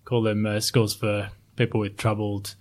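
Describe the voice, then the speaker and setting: A middle-aged man from Sheffield with a softened, well-spoken Northern English accent, answering interview questions with many ums and restarts. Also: lowish voice, little pitch movement, normal speed. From Sheffield, England. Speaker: guest, former chartered accountant.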